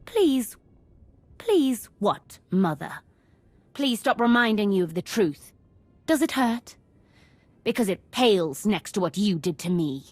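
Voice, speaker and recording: A woman's voice: medium pitch, very animated, slow, long pauses, no room sound. An actress playing a bitter, powerful young woman in a video game, sardonic and contemptuous toward her family. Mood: wounded scorn. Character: bitter, sarcastic young adult daughter, cruel and powerful. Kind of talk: video game character acting.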